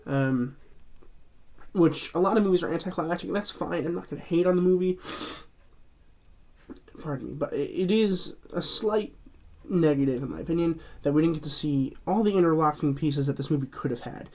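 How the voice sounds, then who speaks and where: A man's voice: on the high side, fairly quick, darkish tone. A man hosting his own movie review channel, chatting casually through a cold that leaves him a bit stuffy and nasal, rambling with plenty of fillers and restarts.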